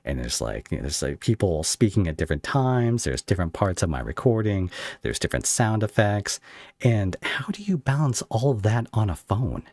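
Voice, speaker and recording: Man, very low voice, lively intonation, podcast host, casual interviewing, effusive, halting phrasing, close dry sound. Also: dry recording. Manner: effusive, halting, thinking aloud.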